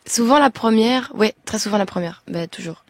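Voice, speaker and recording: A woman's voice: mid-range voice, moderate pace, darkish tone, dry recording. A young woman from Belgium with a Belgian French accent, a singer as a radio interview guest, talking hesitantly with many restarts. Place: radio studio.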